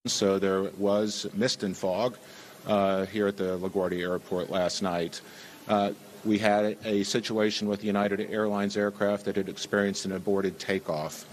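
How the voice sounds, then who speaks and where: A man making an official statement at a press briefing, speaking in halting phrases. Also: fairly low pitch, little pitch movement. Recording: briefing audio with faint background noise.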